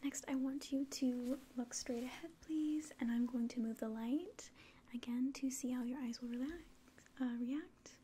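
A woman in her twenties whispering gently and breathily in an ASMR medical exam roleplay, giving polite, hesitant instructions. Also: sits high, normal speed, slight background noise. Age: 20s. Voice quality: breathy whisper and very soft speech. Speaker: ASMR roleplayer playing an alien doctor. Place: small indoor room at home.

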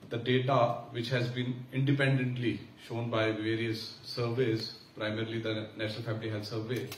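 A man with an Indian English accent giving a formal, measured speech at a conference, pausing with fillers. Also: medium pitch, level tone. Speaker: senior official giving keynote. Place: large conference hall. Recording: echoey hall from far off, faint hiss.

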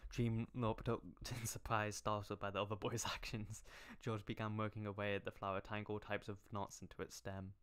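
Male streamer, lowish pitch, sarcastic read-aloud with mocking asides and stumbles. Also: flat delivery, normal speed, fairly bright tone, clear, resonant tone. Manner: sarcastic, stumbling over words.

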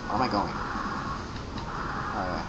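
A man's voice: fairly low pitch, measured pace, lo-fi sound, very noisy. A man playing a video game and commenting casually, joking and reading the game's dialogue aloud in playful voices. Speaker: let's play gamer. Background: loud video game music.

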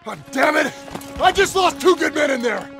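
An actor playing a harsh, foul-mouthed American sergeant barking orders in a war video game. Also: male voice, very high voice, lively intonation, normal speed, full character voice. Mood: furious. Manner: shouted, harsh commands. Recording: loud music behind.

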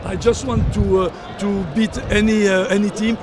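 An older man coaching football, speaking at a post-match press scrum outdoors, halting with many fillers and repeated words. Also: high-pitched, little pitch movement, a crowd in the background, background noise. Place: outdoors at a sponsor backdrop, surrounded by reporters. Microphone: cluster of handheld reporter mics and phones.

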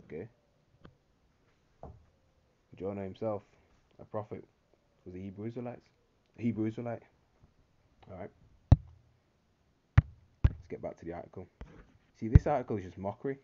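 A man's voice: lowish voice, dark tone, lo-fi sound, a little hiss or hum. A man giving preachy religious commentary over a screen recording, checking in with "all right?" over and over. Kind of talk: screen-recorded commentary reading articles and scripture.

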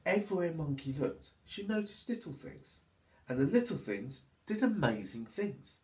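A man, a teacher reading a storybook to children in an expressive, deliberate way. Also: fairly high pitch. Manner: expressive, deliberate, addressing young listeners. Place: small plain room at home, indoors. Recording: echoey small room.

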